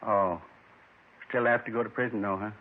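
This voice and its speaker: An actor playing a weary, meek man in his seventies under arrest, halting and wistful, in a 1950s radio drama. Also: on the low side, fairly even intonation, a little hiss or hum, lightly acted. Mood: worried, regretful. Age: 70s. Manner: halting, plain-spoken, wistful. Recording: muffled 1950s radio recording.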